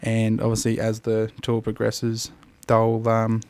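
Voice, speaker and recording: A man's voice: on the low side, monotone, a little slow, a little mellow, clear, resonant tone. Casual Australian man hosting a review. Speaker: host of an app review show.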